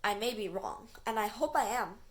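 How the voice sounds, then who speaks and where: A woman's voice: a little high, expressive, normal speed, lightly acted. A woman voicing a matter-of-fact, playful, bossy boy adventurer in a children's storybook, speaking emphatically.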